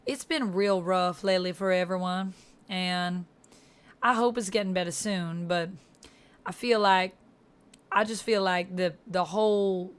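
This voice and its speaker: A woman hosting a livestream, chatting casually with viewers in a put-on Georgia-style Southern US accent. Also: fairly low pitch. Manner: chatty, addresses viewers by name. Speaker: livestream host.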